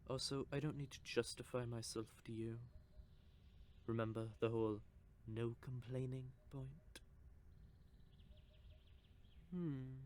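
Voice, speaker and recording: A man playing a teasing male vampire, smug and possessive, slow unhurried delivery, faint noise behind. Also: mid-range voice. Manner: teasing, smug, with soft whispered stretches. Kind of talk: audio roleplay for listeners.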